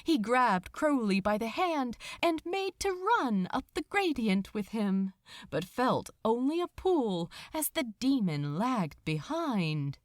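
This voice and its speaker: A woman reading fiction aloud as a dramatic narrator, deliberate and expressive, with pauses between phrases. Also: a little high, no echo, studio quality. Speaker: narrator of fan fiction audiobook. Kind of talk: dramatic scripted story narration.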